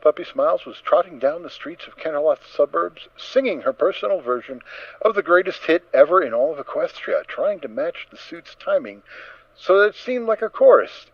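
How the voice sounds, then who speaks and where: A man narrating a story as a dramatic reading, in an expressive, theatrical manner. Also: fairly high pitch, normal speed, no echo. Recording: slightly dull, dry close recording.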